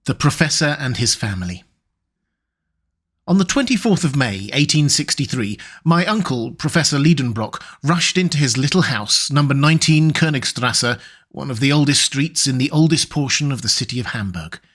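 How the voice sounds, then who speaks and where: Calm male audiobook narrator. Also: medium pitch, normal speed, husky. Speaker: audiobook narrator and channel host.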